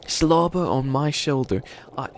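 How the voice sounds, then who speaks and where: A man's voice: medium pitch, lively intonation, measured pace, slight background noise, close to an everyday voice. A man playing a bumbling, dramatic bunny witch who fancies himself evil, rambling and scheming theatrically in a comedic ASMR roleplay. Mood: flustered delight.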